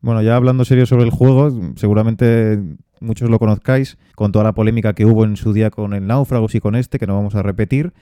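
A man with a Spanish accent from Spain, co-hosting a casual board game podcast and talking things through in a relaxed way, with fillers along the way. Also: fairly low pitch, clear, resonant tone, no room sound, studio quality. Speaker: podcast co-host.

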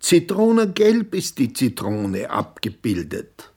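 An actress playing a patient, coaxing carer, speaking deliberately like a schoolteacher in a radio play. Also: female voice, very deep voice, very animated, darkish tone, slightly rough, heavily acted. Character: patient, coaxing carer teaching a confused relative from pictures. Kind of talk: radio drama acting.

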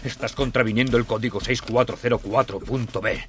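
A man's voice: medium pitch, fairly even intonation, fairly quick, noisy background, natural voice. An actor playing a bold male toy space ranger who barks stiff military orders in a storybook reading. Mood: indignant. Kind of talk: storybook dialogue. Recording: music playing under the voice.

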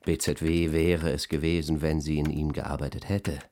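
A man's voice: a bass voice, level tone, moderate pace, a little mellow, a little hiss or hum. An actor playing an earnest, jaded advertising man speaking solemnly and emphatically in a radio drama interview. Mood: solemn, reverent.

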